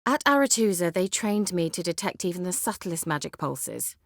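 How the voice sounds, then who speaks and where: An actress voicing a haughty, witty, sly sorceress in a video game, with an arch, formal and sardonic way of talking. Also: female voice, fairly low pitch, big pitch swings, normal speed, vocal fry, no echo, clean studio sound. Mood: wry, composed. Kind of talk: video game character dialogue.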